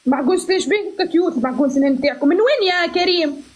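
A woman's voice: very high-pitched, lively intonation, very fast, highs cut off. A woman in her twenties hosting a live stream from a phone, chatting and teasing guests in a playful, mock-pious way. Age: 20s. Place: small room indoors. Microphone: phone at arm's length.

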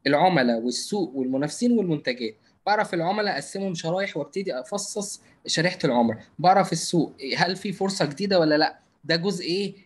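A man with an Egyptian Arabic accent teaching marketing in a live online class over a video call, talking fast with many drawn-out fillers and frequent check-ins. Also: on the high side. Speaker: marketing instructor. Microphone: computer mic on video call.

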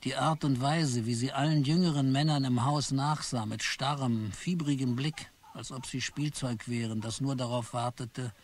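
A man's voice: medium pitch, steady tone, moderate pace, few pauses, close to an everyday voice. A man as a weary, bitter clerk narrating. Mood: brooding. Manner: bitter, tired and brooding. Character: weary, put-upon office clerk Charlie. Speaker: narrator and main character. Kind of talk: first-person radio play narration.

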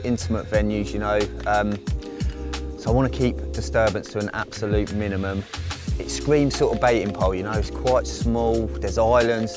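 A man talking casually to camera outdoors by a lake, relaxed and chatty as he plans his fishing day. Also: on the low side. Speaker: carp angler. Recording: music playing underneath.

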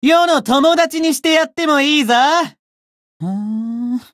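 A woman voicing a cocky, bratty young demon who boasts pompously in rough slang, in a Japanese game character style. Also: high voice, expressive, measured pace, dry recording, clean studio sound. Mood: cocky, teasing.

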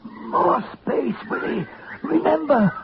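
An actor playing a dying old male wizard in a 1940s radio drama, with a raspy, wheezing voice. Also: extremely high pitch, animated, slow, heavily acted. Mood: urgent. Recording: dull old radio broadcast with static.

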